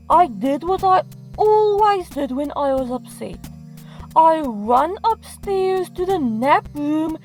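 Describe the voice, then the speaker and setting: A woman's voice: high voice, a little mellow, rough recording. A woman giving scripted comedic storytime narration in a dramatic, self-mocking manner. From South Africa. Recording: music playing behind the voice. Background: music.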